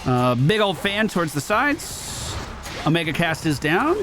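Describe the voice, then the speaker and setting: A man with a fairly high voice does casual gameplay commentary. He is chatty and thinks out loud, with game music playing behind him. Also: very animated, a little slow. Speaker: gaming streamer.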